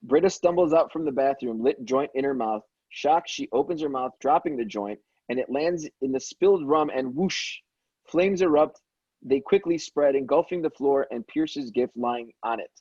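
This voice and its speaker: A man reads screenplay action lines as a scripted narrator. His tone is relaxed and a little husky. The recording is dry and slightly dull. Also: mid-range voice, normal speed, no room sound. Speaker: stage-direction reader at a table read.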